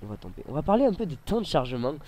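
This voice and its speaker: A man's voice: on the high side, sing-song, rapid-fire, darkish tone, a little hiss or hum. A man with a cold, commentating casually over his gameplay at a desk mic, rambling and hesitant with many fillers. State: has a cold. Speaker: gaming YouTuber.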